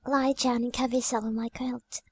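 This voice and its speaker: Dying schoolgirl played by a woman, audiobook drama: high pitch, husky whispery softness, tender, calm, pious. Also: normal speed, no echo. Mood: serene, frail. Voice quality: soft, weak, breathy. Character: dying young girl, serene, pious, gentle.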